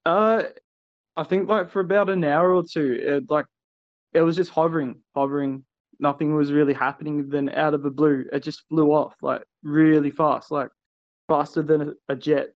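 A man speaking hesitantly, full of "like" and "uh". His voice sits a little high. The recording is dry and muffled, with no room sound. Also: steady tone, moderate pace, no echo. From Australia. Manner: hesitant, many fillers. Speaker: guest. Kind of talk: casual call-in interview.

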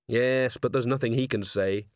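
A man voicing a wry, world-weary adult werewolf woman with a dry, brisk, guarded manner in a storybook reading. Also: medium pitch, fairly even intonation, no room sound, natural voice. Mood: resigned.

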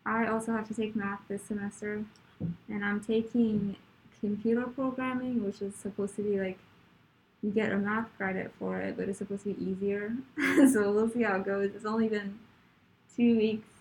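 A woman's voice: medium pitch, fairly even intonation, moderate pace, darkish tone, low-quality recording. A young woman hosting a casual interview podcast, encouraging her guest with frequent backchannels. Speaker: podcast host.

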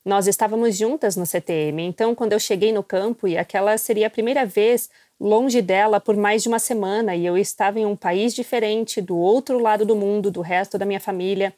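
A woman of middle pitch recalls her experiences candidly as a missionary guest. Her voice is recorded close and dry, with no room sound. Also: fairly even intonation, fairly quick, few pauses, warm tone, dry recording. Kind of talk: personal storytelling.

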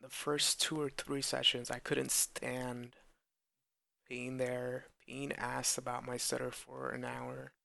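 A young man speaking slowly and earnestly in a personal monologue, with stuttered repeats and blocks. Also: mid-range voice, completely flat delivery, creaky, pushed and bright, no echo. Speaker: podcast host who stutters.

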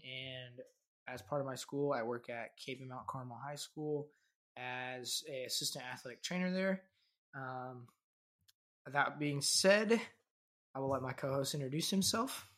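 A man's voice: mid-range voice, fairly bright tone. Young man, twenties, from Kansas, podcast host, casual chat, easygoing. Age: 20s. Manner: loose and conversational, many fillers.

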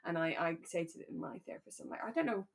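A woman co-hosting a relaxed conversational podcast, talking in a candid, reflective and careful way. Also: fairly low pitch, quick pace, gravelly. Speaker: podcast co-host.

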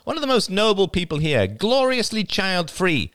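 A man voicing a brash, mocking young anarchist woman in a high, nasal, theatrical voice for a story. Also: sing-song, moderate pace, a bit bright, smooth, no room sound, studio quality. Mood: sneering. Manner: sarcastic, taunting, exaggerated.

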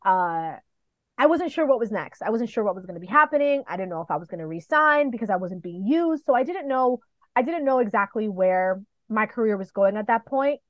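A woman from New York City and the Dominican Republic chatting casually as an interview guest, telling stories in an animated, playful way. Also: mid-range voice, a little fast, no echo, dull audio. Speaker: podcast guest, professional wrestler.

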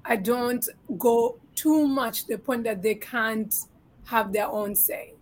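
A woman's voice: fairly high pitch, slow pace. A woman from Tanzania on a podcast panel, speaking frankly and emphatically with bursts of laughter. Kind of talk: casual panel discussion.

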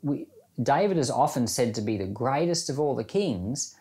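A man's voice: medium pitch, animated, normal speed, darkish tone. Conversational male pastor, reflective and plain-spoken. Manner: informal, reflective, plain-spoken, explaining with everyday examples. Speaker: pastor giving Bible podcast commentary and prayer. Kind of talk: conversational devotional sermon.

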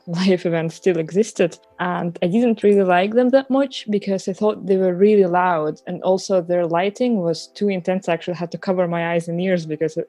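A woman's voice: on the low side, dark tone, no room sound. A woman co-hosting a podcast, chatting casually and personally about albums she likes. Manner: chatty, personal, laughs easily. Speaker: podcast co-host. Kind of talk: casual chat about music.

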